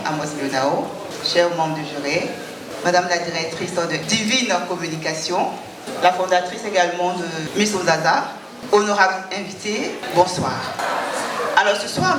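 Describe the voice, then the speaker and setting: A woman giving a ceremonious, exhorting speech at a pageant on a handheld mic. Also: on the low side. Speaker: guest speaker at a pageant. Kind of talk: formal public address. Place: large hall at a live event. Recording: echoey hall from far off, loud crowd.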